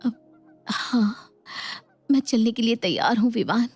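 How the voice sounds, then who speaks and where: A woman's voice: fairly high pitch, unhurried, a little mellow, husky, soft background music. A woman voicing a naive, lovestruck young married woman, coy and emotive, in a dramatized moral story. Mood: coy, smitten.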